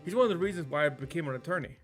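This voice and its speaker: A man's voice: on the high side, expressive, racing, faint music behind, close to an everyday voice. A man voicing an earnest, slightly nervous young rookie lawyer in a video game. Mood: sheepish.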